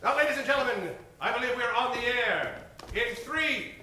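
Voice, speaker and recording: A man's voice: extremely high pitch, expressive, normal speed, bright, forceful tone, a little hiss or hum. A man hosting and narrating a radio comedy show in a grandiose, dramatic old-time announcer style. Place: live stage performance, roomy. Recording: echoey stage from far off, music behind.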